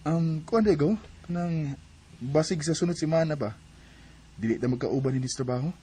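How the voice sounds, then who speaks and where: Young Cebuano boyfriend, easygoing but prone to suspicion, husky voice, radio drama. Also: male voice, a little high, normal speed, mellow. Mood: matter-of-fact. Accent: Filipino, Cebuano.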